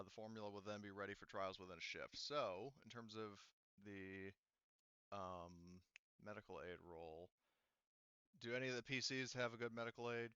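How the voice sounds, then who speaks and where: A man narrating a tabletop roleplaying game as game master, casual and halting, with the odd swear word. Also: lowish voice, sharp tone, clear, resonant tone, no echo, lo-fi sound.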